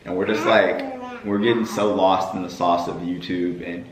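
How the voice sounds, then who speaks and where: A young man with a hoarse, worn-out voice chatting casually on his family vlog, with a playful preacher-like cadence. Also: low-pitched, fairly even intonation, normal speed, echoey room, poor audio. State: hoarse from screaming at games. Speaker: vlogger.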